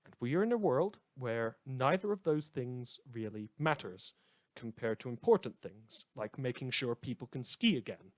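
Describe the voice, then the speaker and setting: A man's voice: mid-range voice, lively intonation, moderate pace, dry recording, natural voice. Theatrical, wry male radio host played by an actor, very dry sound. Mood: impassioned. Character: opinionated, darkly comic male radio host in apocalyptic world. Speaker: radio host character in a fan audio drama. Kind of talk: scripted radio monologue.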